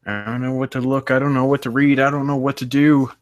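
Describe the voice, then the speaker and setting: A man hosting a casual livestream, rambling and haltingly muttering to himself, with loose, crude language. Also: medium pitch, flat delivery, no echo. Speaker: livestream host.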